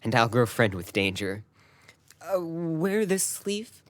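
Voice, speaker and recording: Ardent young warrior-lover, female actor, very deep register, earnest Shakespearean verse, dry audio-drama sound. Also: big pitch swings, takes it slowly, no room sound, exaggerated voice. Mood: earnest, tender. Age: young adult. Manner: earnest, declamatory verse delivery.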